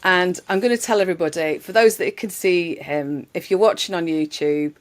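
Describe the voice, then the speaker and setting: A woman's voice: on the low side, moderate pace. A woman hosting a podcast, chatty and laughing easily, talking with a remote guest. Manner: chatty, laughs often, says "love". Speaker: podcast host. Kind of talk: casual interview chat.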